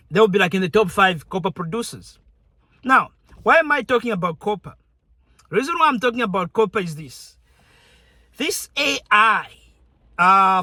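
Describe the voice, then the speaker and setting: A man's voice: high-pitched, sharp tone, dry recording. A young man from Zambia talking casually to his viewers, chatty and conversational, often restarting his sentences. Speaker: YouTuber. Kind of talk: casual direct-to-camera talk.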